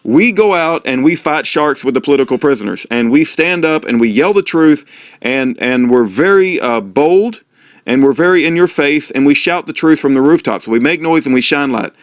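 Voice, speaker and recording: A man's voice: fairly high pitch, expressive, moderate pace, no room sound, studio quality. A middle-aged American man speaking as an informal host, rambling in a folksy, preacherly way with repeated phrases. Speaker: host, prisoner-support activist. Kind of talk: informal, rambling monologue to viewers.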